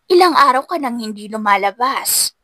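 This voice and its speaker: Woman voicing a gentle, soothing mother. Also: on the high side, expressive, normal speed, no room sound, studio quality. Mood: gentle, consoling. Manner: soft, soothing. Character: gentle, consoling mother of a grown daughter. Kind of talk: audiobook romance melodrama.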